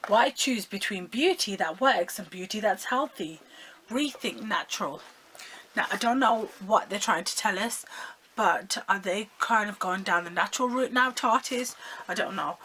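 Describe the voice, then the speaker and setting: A young woman, hoarse and croaky from a sore throat, chatting excitedly to her viewers while unboxing makeup. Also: mid-range voice, normal speed, slight background noise, low-quality recording. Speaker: beauty vlogger. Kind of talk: casual makeup unboxing vlog.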